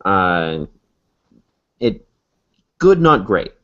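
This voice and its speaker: A man co-hosting a casual podcast, measured and hesitant, with many fillers. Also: sits low, very animated, a bit bright. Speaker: podcast co-host. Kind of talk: casual chat. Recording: lo-fi podcast audio.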